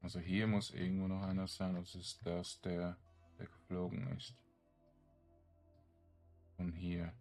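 A man's voice: low-pitched, completely flat delivery, unhurried, long pauses, darkish tone, soft background music. A man giving casual let's play commentary, muttering to himself in a hesitant way and trailing off. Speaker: gaming streamer narrating his own play.

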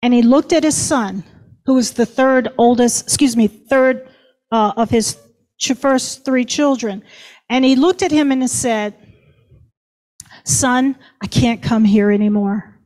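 A woman's voice: fairly high pitch, unhurried, darkish tone. A woman history professor giving an earnest, emphatic storytelling talk on a panel.

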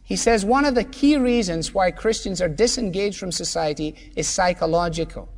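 A man's voice: high voice, normal speed, big-room sound, slight background noise. A man preaching a sermon as pastor, emphatic and full of rhetorical questions.

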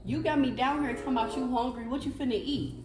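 A woman's voice: sits high, fast, close to an everyday voice. A woman with an American accent playing a sassy, skeptical girlfriend in a casual skit, full of slangy back-talk. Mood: playful. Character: sassy, skeptical young woman visiting her boyfriend. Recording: echoey room with loud music.

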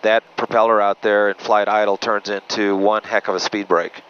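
Low-voiced pilot on a muffled headset. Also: male voice, flat delivery, moderate pace, fairly bright tone, a little hiss or hum. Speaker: turboprop pilot. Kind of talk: explanatory cockpit talk and checklist callouts. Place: small turboprop cockpit in flight. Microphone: aviation headset boom mic. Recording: muffled aviation headset audio, engine drone.

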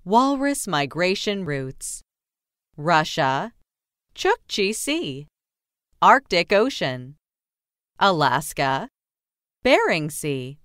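A woman narrating a children's nonfiction book as a scripted read-aloud, with careful, clearly separated phrasing. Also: on the low side, sing-song, very slow, long pauses, a bit bright, no room sound, studio quality.

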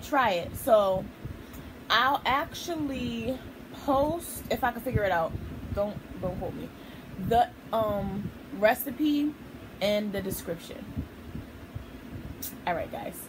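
A young woman chatting casually to camera as a vlog host, slangy and lively, while eating at a table in her living room. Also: a little high, slow pace, pauses often. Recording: noisy, somewhat distant phone audio.

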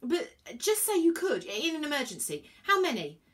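A woman voicing a brave young boy in peril, shouting urgently in a storybook adventure. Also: high-pitched, very animated, moderate pace, voice pushed up. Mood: urgent, insistent.

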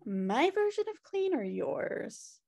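Woman acting as sarcastic messy sister, high swoopy voice, slow talker, comedy read on a video call. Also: big pitch swings, vocal fry, mellow, full character voice. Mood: evasive. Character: blunt, slobbish, sarcastic twin sister. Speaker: actor in a table read. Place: indoor room on a video call.

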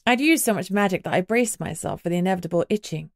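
A woman's voice: on the low side, lively intonation, fast, vocal fry, no room sound, clean studio sound. A woman reading scripted first-person audiobook narration.

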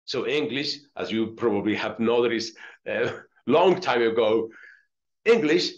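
A middle-aged man from Colombia with a Spanish accent, a friar teaching a religious lesson, reading aloud and explaining deliberately, repeating phrases for emphasis. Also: mid-range voice, lively intonation, lo-fi sound. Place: small indoor room with curtains.

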